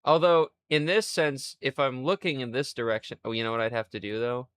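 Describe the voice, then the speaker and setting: A man in his twenties streaming live, rambling in a crude, self-mocking way and thinking out loud. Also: medium pitch, fairly even intonation, a little fast, clean tone, no room sound. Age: 20s. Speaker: livestreamer. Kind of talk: casual livestream chat.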